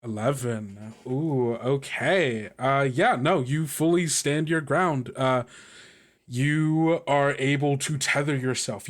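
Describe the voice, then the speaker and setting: A man acting as game master, mixing improvised narration with informal table talk and talking the rules through as he goes. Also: mid-range voice.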